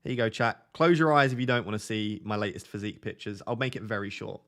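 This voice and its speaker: A young man hosting a live stream, chatting casually with his viewers in a flat, monotone, self-deprecating way. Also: on the low side, fast talker. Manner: self-deprecating, chatty, reads out chat messages. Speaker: livestream host.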